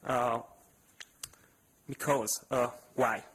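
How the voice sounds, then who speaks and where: A man's voice: medium pitch, steady tone, drawn-out words, fairly bright tone, slightly rough. A man with a Hungarian accent, a non-native English speaker who reads a prepared speech at a conference with many hesitations and restarts. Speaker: conference speaker, company head.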